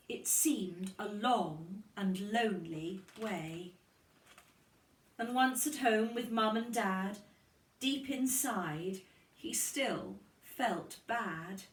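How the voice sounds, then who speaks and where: Female storybook reader, teacher type: animated, very unhurried, recorded a little distant in a roomy space. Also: medium pitch. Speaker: teacher reading aloud to children. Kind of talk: expressive picture-book reading. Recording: echoey room, distant microphone.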